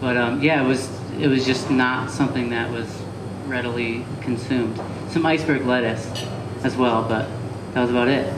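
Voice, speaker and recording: A young man from Connecticut talks through his story in a relaxed, wandering way, with plenty of ums. He is recorded live in a cafe that echoes around him. A steady hum of room noise sits under his voice. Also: medium pitch, normal speed, hardly any pauses, far from the mic. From Connecticut, USA. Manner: relaxed and rambling, with many fillers. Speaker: chef, interview guest. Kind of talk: casual interview answers. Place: live talk event in a cafe.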